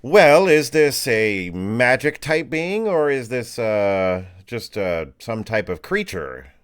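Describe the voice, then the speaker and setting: A man's voice: mid-range voice, very animated, takes it slowly, smooth. A man voicing a flustered, polite and chatty female receptionist at a mage tower in a tabletop game. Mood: helpful.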